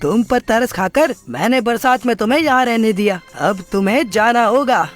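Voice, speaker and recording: A woman acts a selfish male cartoon crow with a brusque, bossy manner. The delivery is lively and swooping, over faint music. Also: on the high side, moderate pace, pitched below the performer's own voice, lightly acted. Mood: bossy, dismissive. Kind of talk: children's cartoon story acting.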